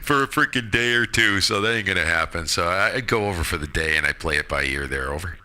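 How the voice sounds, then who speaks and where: A man's voice: sits low, animated, quick pace, sharp tone. A man chatting casually over amateur radio, laid-back and colloquial, with folksy exclamations. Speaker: amateur radio operator on air.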